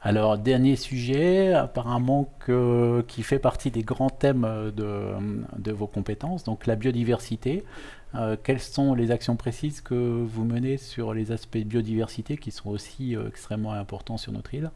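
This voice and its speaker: A man with a mellow, soft-edged voice hosts an educational interview. He speaks conversationally at a middle pitch, often restarting and feeling his way through long, loosely built sentences. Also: moderate pace. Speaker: host, university professor interviewing a guest.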